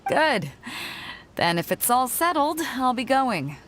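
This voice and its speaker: A woman's voice: high-pitched, sing-song, slow pace, a bit bright, full character voice. An actress playing a bold, confident female ship captain in a video game dub, with a commanding manner. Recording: game dub with faint music.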